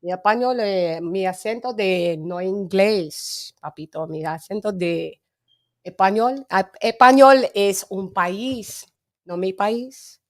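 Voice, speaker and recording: A woman's voice: mid-range voice, measured pace. Woman, English accent, casual livestream host, chatty, laughs a lot, drops into Spanish. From England. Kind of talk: casual live chat with viewers.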